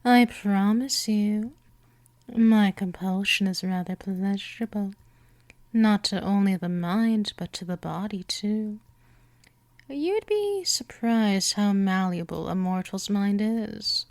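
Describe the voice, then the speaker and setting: A woman voicing a gentle but dominant, seductive vampire in a scripted hypnosis roleplay, teasing and coaxing, often slipping toward a whisper. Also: mid-range voice, lively intonation, takes it slowly, dry recording.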